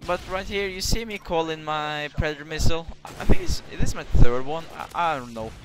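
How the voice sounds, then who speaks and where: A man's voice: on the high side, animated, fairly quick. Rambling young man with Norwegian accent. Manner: rambling, casual, swears, many restarts. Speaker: gameplay commentator. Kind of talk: casual gameplay commentary. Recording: clear background hiss.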